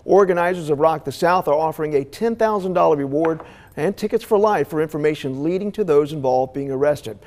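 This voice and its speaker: An American man anchors morning television news. His voice sits a little higher than most men's. He delivers stories and handles live interviews in a broadcast news style. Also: a little mellow.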